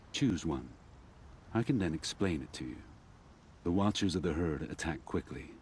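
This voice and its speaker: A man playing a solemn, patient keeper of hunting grounds in a video game, with measured, deliberate speech. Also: sits low, darkish tone, slight background noise. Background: music.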